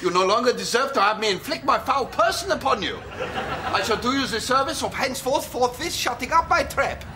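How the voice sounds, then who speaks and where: An actor playing an earnest, guilt-ridden young German man with a German accent, who talks in stiff, over-formal phrases and bursts into shouted rants. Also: very high-pitched, lively intonation, fairly quick, background noise. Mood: apologetic. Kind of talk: TV sketch comedy acting.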